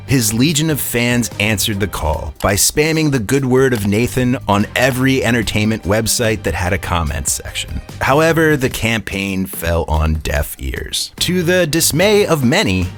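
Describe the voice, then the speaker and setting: Low-pitched, lively man narrating. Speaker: video essay narrator and host. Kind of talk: scripted narration.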